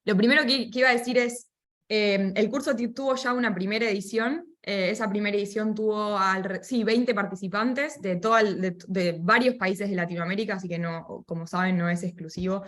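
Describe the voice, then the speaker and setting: A woman's voice: medium pitch, steady tone, fairly quick, a bit bright. A woman from Argentina with an Argentine Spanish accent, speaking as a guest expert in a friendly, chatty online Q&A with a few fillers. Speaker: guest expert, product manager. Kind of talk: casual webinar Q&A. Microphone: video call.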